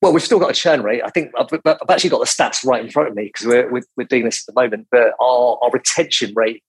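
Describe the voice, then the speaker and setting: A man in his forties, a recruitment business leader talking as a podcast guest in a quiet, calm, measured way with frequent restarts. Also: mid-range voice, slightly rough, no echo, poor audio. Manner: quiet, calm, many restarts and repeated words. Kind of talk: measured business interview talk.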